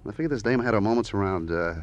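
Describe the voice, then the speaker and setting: An actor playing a nosy, fidgety, talkative witness in an old radio crime drama, flippant and rambling. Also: male voice, lowish voice, lively intonation, very fast, muffled recording, close to an everyday voice. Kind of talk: 1940s-50s radio drama acting.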